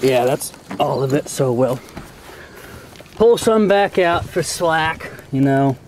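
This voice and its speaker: A man's voice: a little high, expressive, slow, darkish tone, a little hiss or hum. A man giving a casual hands-on tutorial in a folksy, plain-spoken way, as host of a homemade how-to video. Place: outdoors in and around a car.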